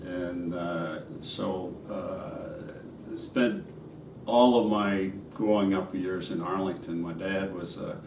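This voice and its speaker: A man's voice: sits low, fairly even intonation, takes it slowly, a little mellow. An older man with a New England accent, rambling with many fillers as an interviewee. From Massachusetts. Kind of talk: casual conversational interview. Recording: roomy, distant mic with background noise.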